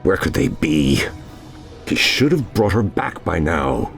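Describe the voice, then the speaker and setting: An actor playing a stern, commanding ancient elf leader in a fantasy game, speaking solemnly and formally. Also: male voice, low voice, sing-song, takes it slowly, creaky, faint music behind, full character voice. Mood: worried. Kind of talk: dramatic fantasy game acting.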